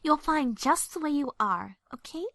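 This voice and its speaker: A woman's voice: sits high, lively intonation, normal speed, fairly bright tone, no room sound, clean studio sound. A woman playing a sweet, easily flustered, genteel young pony in an audio drama, polite and hesitant. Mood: tender. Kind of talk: audio drama character acting.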